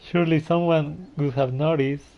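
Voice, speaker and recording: A man's voice: on the high side, steady tone, moderate pace, gravelly. A man reacting casually as he plays through a video game. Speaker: game streamer. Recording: dull lo-fi mic, faint noise.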